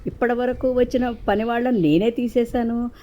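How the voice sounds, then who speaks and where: A woman plays a proud, grumpy old man of wealth in a storybook tale. The voice is mellow, with a brooding, scolding manner, over faint noise. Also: on the high side, steady tone, normal speed, pitched above the performer's own voice, natural voice. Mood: surprised, brooding. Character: proud, irritable rich old man living alone.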